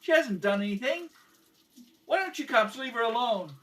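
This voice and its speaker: A man playing a hot-tempered young boy in a radio crime play, pitched far up and loud. He is pushy and defiant, protesting at grown-ups. It sounds like a homemade recording, off the mic. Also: very animated, moderate pace, heavily acted. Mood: angry, defiant. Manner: belligerent, pushy, shouted protests. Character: angry, defiant young boy who hates cops. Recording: homemade, distant mic with background noise.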